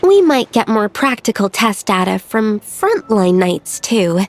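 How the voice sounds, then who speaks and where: A woman's voice: medium pitch, big pitch swings, unhurried, slight background noise. An actress playing an earnest young female researcher who explains things precisely, in video game voice acting.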